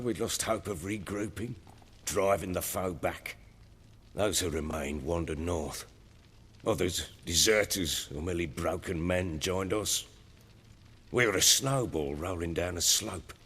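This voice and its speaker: An actor playing a former soldier turned self-proclaimed baron, speaking in a slow, measured, world-weary way with a husky, low voice. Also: male voice, fairly bright tone, heavily acted. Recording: long hall reverb.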